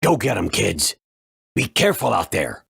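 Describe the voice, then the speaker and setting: A man voicing a gruff, hearty adventurer comrade in a video game. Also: fairly low pitch, wildly swooping pitch, normal speed, a bit bright, exaggerated voice. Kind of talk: video game character acting.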